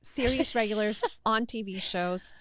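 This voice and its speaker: A woman's voice: medium pitch, unhurried, a bit bright, dry recording. A woman hosting a podcast, interviewing in a conversational way. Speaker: podcast host.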